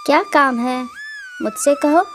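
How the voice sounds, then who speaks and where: A woman's voice: high voice, huge pitch swings, a little slow, slight background noise, heavily acted. A woman playing a cold, stingy sister-in-law in a cartoon moral story, curt and dismissive.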